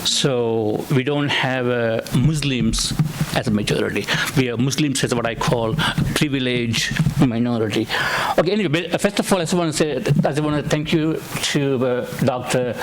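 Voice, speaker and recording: A man from Sri Lanka whose first language is Tamil, giving an unscripted conference talk with halting, repeated words and wry asides. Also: medium pitch, slight background noise, rough recording. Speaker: conference speaker, academic. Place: conference room.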